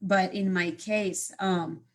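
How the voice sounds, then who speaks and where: A woman, telling her life story as a guest speaker on a video call, pausing often with fillers and restarts. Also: mid-range voice, fairly even intonation. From Morocco. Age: 30s. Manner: halting, many fillers and restarts. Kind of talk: personal life story talk.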